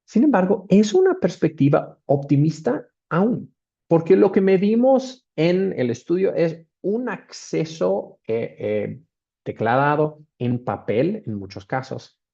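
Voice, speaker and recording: A man with an Australian accent presents on a video call, his voice mellow and rather dark. He hesitates often, filling gaps and restarting phrases. The audio comes through dull and muffled. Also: fairly high pitch, big pitch swings, slow, vocal fry. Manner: hesitant, with many fillers and restarts. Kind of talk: webinar presentation.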